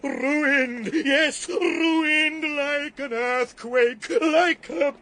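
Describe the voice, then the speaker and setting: An actor playing a rueful, self-pitying shop assistant, speaking melodramatically in a period radio drama. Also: male voice, very high-pitched, fairly even intonation, drawn-out words, bright, forceful tone, heavily acted. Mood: rueful, despairing. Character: proud, rueful male shop assistant bewailing his ruin.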